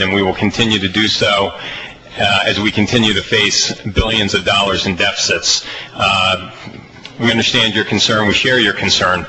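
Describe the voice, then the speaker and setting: An American man chairing a legislative hearing, speaking off the cuff in halting, emphatic phrases with repetition. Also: fairly low pitch. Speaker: committee chairman. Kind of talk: extemporaneous remarks at a legislative budget hearing. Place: legislative committee hearing room. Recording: noisy legislative hearing audio.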